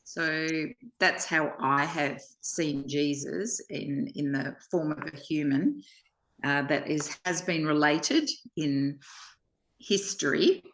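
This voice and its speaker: Woman, low-pitched and unhurried, halting reflective delivery for a spiritual discussion. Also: lively intonation, creaky, a bit bright. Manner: halting, reflective, pausing mid-sentence. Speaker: study group participant.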